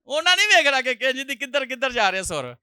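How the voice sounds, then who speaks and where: A male pastor, preaching a sermon with a sore throat, often clearing it and rising loudly on hallelujah. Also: very high voice, sing-song, brisk, no echo, studio quality. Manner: preaching with loud rises on hallelujah and jokey asides.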